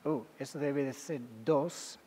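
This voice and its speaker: A man with as his giving an academic talk as a guest lecturer, halting, with many fillers and self-corrections. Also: on the high side, fairly even intonation, darkish tone, slightly rough. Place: lecture hall. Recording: long reverb of a large hall.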